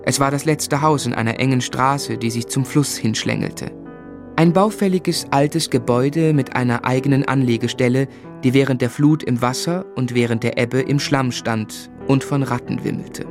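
First-person narrator for literary radio drama, male actor: medium pitch, measured, reflective, clearly audible music underneath. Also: lightly acted. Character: grown man recalling his lonely, miserable boyhood.

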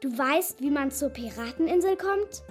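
A woman's voice: sits high, expressive, moderate pace, soft background music. A woman acting a bold, adventurous schoolgirl in a children's radio play, cheeky and insistent. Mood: eager pleading. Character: bold, adventurous schoolgirl longing to sail with pirates.

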